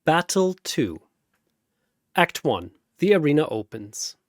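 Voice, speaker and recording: A man delivering dramatic, deliberate, wry scripted narration of a comic fantasy battle show. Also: on the high side, very animated, dry recording, clean studio sound. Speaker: narrator and announcer.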